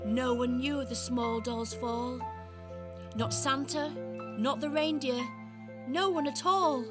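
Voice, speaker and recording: A woman's voice: high-pitched, fairly even intonation, slow pace. A woman narrating a rhyming children's radio play as storyteller. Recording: music behind the voice.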